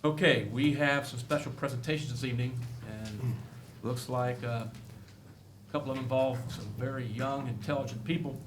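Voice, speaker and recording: A man chairing a council meeting in an echoey chamber with a steady hum of noise behind him. He talks in a folksy, halting way and fills his pauses with frequent "uh"s. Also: mid-range voice. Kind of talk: presiding over a council meeting. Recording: echoey room, background noise.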